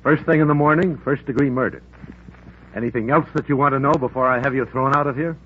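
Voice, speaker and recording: An actor playing a pompous, brusque district attorney in a 1950s radio drama, speaking in a formal, clipped, indignant manner. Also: male voice, mid-range voice, fast talker, close to an everyday voice. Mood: indignant, curt. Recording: tinny, noisy vintage radio recording.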